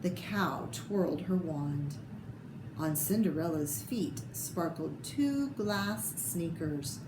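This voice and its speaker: A woman reading a children's picture book aloud with expressive, theatrical delivery and short asides about the pictures. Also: on the low side, slow pace. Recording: echoey room with clear background noise.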